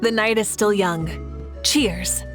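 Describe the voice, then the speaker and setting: An actress playing a proud, gracious warrior leader in a video game, speaking in a grand, measured way. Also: female voice, mid-range voice, music in the background, close to an everyday voice. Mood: relaxed, reflective. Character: proud, gracious warrior leader woman, victorious and good-humored.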